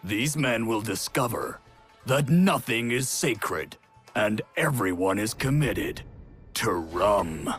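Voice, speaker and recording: A bombastic trailer announcer, selling pirate adventure over faint music. His delivery is slow and theatrical, with big swings in pitch and booming emphasis. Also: lively intonation, a bit bright. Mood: bombastic, hyped. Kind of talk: dramatic movie-trailer voice-over.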